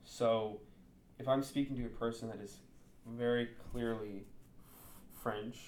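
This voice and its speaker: A man's voice: mid-range voice, steady tone, a little slow, darkish tone, a little hiss or hum. A native English-speaking man talking candidly and thinking aloud in a casual interview. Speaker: interview guest. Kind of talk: casual conversation.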